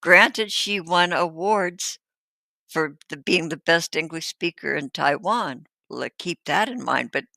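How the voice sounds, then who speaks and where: A woman co-host in a casual reaction chat, amused and chatty, with drawn-out asides. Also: sits low, creaky, bright, forceful tone, no echo.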